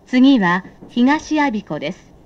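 A woman's voice: on the high side, sing-song, a little mellow, highs cut off. A woman reading recorded train announcements in short set phrases, formally and politely. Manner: formal, polite, measured scripted delivery.

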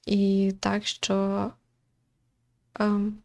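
A woman hosting a game playthrough, reflecting casually and thoughtfully, often pausing to search for the right word. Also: mid-range voice, monotone, gravelly, darkish tone. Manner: hesitant, searching for words, thoughtful. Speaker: host of a visual novel playthrough.

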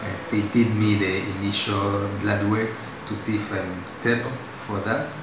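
Young Tanzanian man, lowish voice, unscripted patient testimonial, hesitant, recorded on a phone in a very echoey hospital room with noise behind. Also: level tone. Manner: halting, with restarts and fillers.

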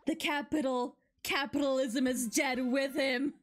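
A woman doing playful, excitable live game commentary and reading dialogue aloud with mock drama. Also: high voice, unhurried, soft background music. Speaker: game streamer.

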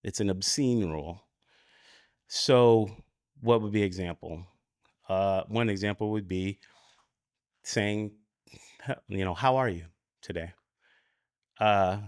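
A man with an American accent giving an informal, explanatory conference talk, halting as he searches for words and often checking in with "right?". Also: on the low side, dry recording, clean studio sound. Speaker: conference speaker. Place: conference room at a lectern.

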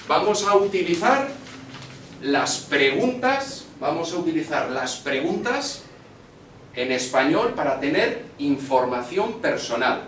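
Slow, lively Spanish teacher from Spain. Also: male voice, a little hiss or hum. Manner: slow and deliberate, lively questioning pitch. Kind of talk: slow, clear classroom instruction. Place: small classroom, indoors. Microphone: far camera. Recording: echoey classroom from far off.

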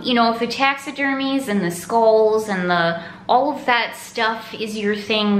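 A woman's voice: mid-range voice, fairly bright tone. A young adult American woman chatting casually and enthusiastically to viewers as a vlog host, recorded at home. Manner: upbeat, enthusiastic. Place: indoors at home, open room with kitchen. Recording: echoey room, faint background noise.

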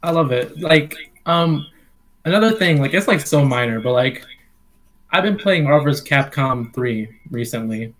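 A man's voice: fairly high pitch, animated, measured pace, a bit bright, rough recording. A young man chatting casually with lots of filler words, on a headset webcam call from his bedroom. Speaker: podcast co-host. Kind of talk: casual chat.

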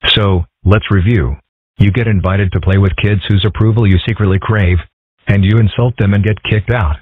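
A man voicing a calm, philosophical young boy fox in a measured, analytical manner for a cartoon comic dub. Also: fairly low pitch, slightly rough, no room sound. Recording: thin, band-limited, phone-like sound.

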